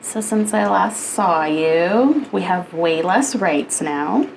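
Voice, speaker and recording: A woman's voice: fairly low pitch, animated, slow pace, gravelly, a little mellow, slight background noise, rough recording. A woman in her early thirties co-hosting a podcast in casual chat, dry and wry, often asking questions. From United States. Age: 30s. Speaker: podcast co-host.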